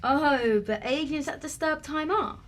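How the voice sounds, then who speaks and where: High-voiced, feisty, stubborn young woman. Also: a little slow. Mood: sardonic, probing. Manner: challenging, sarcastic. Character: feisty, stubborn, sarcastic young female video maker. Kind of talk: audio drama acting.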